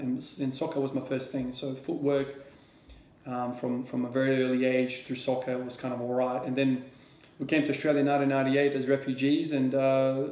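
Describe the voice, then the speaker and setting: A man's voice: mid-range voice, warm tone, reverberant. A man in his thirties from Croatia, answering viewer questions to camera in a casual, rambling way with many fillers and repeated words. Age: 30s. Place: small room at home with shelves. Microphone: camera or laptop at desk distance.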